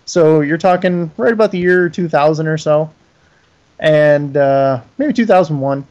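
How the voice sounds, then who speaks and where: Higher-pitched man, folksy podcast guest. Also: measured pace, darkish tone, dull audio. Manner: self-deprecating, folksy, enthusiastic. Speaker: interview guest, pinball company owner. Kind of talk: casual conversational interview.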